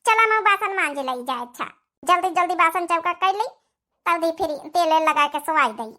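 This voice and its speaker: Cartoon village sister, young, dutiful and fretful: piercingly high, bright, Awadhi-accented female performer. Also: lively intonation, normal speed, exaggerated voice. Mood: busy, chatty. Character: young village sister, dutiful, caring, worried for baby nephew. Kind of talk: family drama cartoon dialogue.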